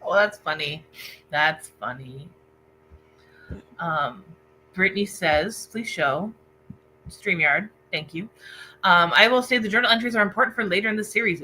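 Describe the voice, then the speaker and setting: Woman, book club host, bright forceful voice, informal livestream talk, video call, noisy background with hum. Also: on the low side. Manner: informal, reads out viewer comments. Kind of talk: casual livestream book discussion. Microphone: internet video call.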